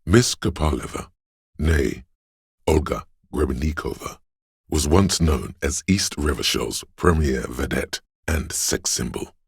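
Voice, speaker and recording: A man's voice: animated, measured pace, no echo, studio quality, heavily acted. A man voicing a pedantic, know-it-all encyclopedic inner voice for a game, with erudite, dryly wry explanatory narration. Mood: dry, informative. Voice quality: very deep, gravelly, husky.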